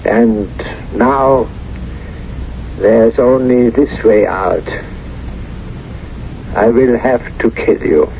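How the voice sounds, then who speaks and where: An actor in a 1970s radio drama playing a suave, menacing former collaborator, measured, deliberate and smug. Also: male voice, medium pitch. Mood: gloating menace. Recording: muffled, hissy old radio recording.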